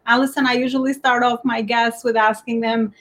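A woman's voice: on the high side, flat delivery, a little fast, a bit bright. A woman with an Albanian accent hosting an interview show over a video call, upbeat and enthusiastic. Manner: enthusiastic, repeats "amazing". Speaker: podcast host. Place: home office in New York.

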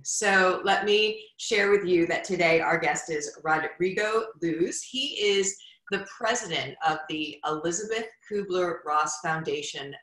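Middle-aged woman podcast host, effusive, bright tone, roomy echo. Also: fairly low pitch. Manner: effusive, warm praise with laughs. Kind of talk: casual interview chat. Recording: echoey room.

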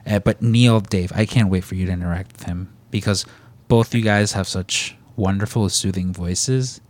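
A man's voice: deep voice, fairly even intonation, normal speed, a little mellow. A man from the Chicago area with a Chicago accent, co-hosting a podcast in casual, rambling, joking chat. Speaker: podcast co-host.

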